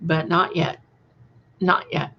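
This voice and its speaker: A woman's voice: low-pitched, little pitch movement, slow pace, highs cut off, slight background noise. A woman in her sixties hosting a casual livestream, chatting affectionately with listeners and using endearments. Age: 60s. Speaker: livestream host. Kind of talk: casual live chat with viewers.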